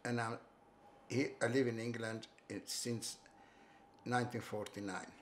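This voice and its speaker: Older Hungarian-accented man, halting speech. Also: medium pitch, level tone, slight background noise. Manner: halting, restarts and repeats phrases. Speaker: interviewee. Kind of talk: recounting life story. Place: bright living room, seated on sofa.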